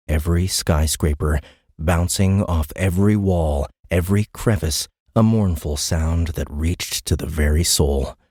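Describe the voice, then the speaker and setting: A man with a deep, low-pitched voice narrates a science fiction story. His delivery is dramatic and deliberate, recorded very dry and close in a studio. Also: mellow, no echo. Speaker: audiobook narrator. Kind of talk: scripted sci-fi story narration.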